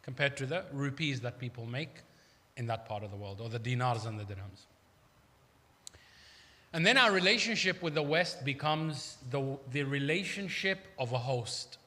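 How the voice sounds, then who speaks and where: A man's voice: medium pitch, bright, forceful tone. A man from Iraq preaching a sermon in a conversational, emphatic manner, with rhetorical questions. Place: large hall at an Islamic centre. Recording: echoey hall with long reverb.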